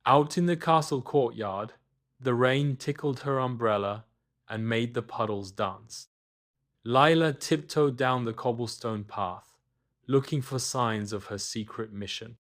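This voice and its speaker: A man's voice: medium pitch, measured pace, fairly bright tone. A man reading a scripted children's bedtime story as its narrator.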